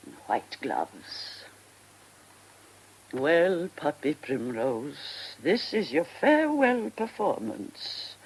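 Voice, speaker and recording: An actress playing an older, faded stage diva in a radio drama, eccentric and wistful, talking to herself in a halting, theatrical manner. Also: female voice, a bass voice, a little hiss or hum, exaggerated voice.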